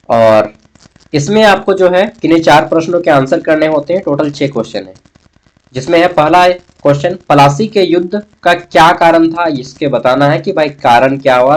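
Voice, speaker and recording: A man's voice: a little high, low-quality recording. A man giving an instructional exam-prep lecture, explaining point by point with frequent check-in tags. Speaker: online teacher.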